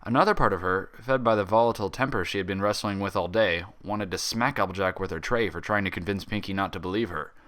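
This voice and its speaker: A man narrating a fan fiction story as an expressive reader. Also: low-pitched, fast, few pauses.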